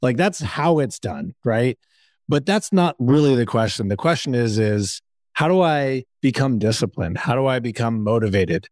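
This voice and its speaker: Man, mid-pitched, very dry studio sound. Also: expressive, moderate pace, darkish tone, no echo. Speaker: podcast co-host. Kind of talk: casual conversational coaching talk.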